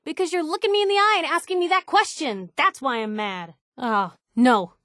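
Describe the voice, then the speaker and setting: A woman's voice: sits high, normal speed, fairly bright tone, dry recording, studio quality. An actress with an American accent playing a feisty, nosy young woman reporter as a game companion, wry, snappy, informal and emotionally direct. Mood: angry, hurt. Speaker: video game companion character. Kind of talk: acted game dialogue.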